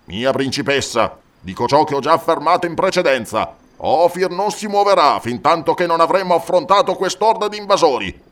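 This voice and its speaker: A man's voice: on the high side, lively intonation, fairly quick, few pauses, fairly bright tone, pitched above the performer's own voice. A man voicing an elderly, careworn royal chancellor in a fantasy storybook, formal, grave and insistent. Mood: grave, worried.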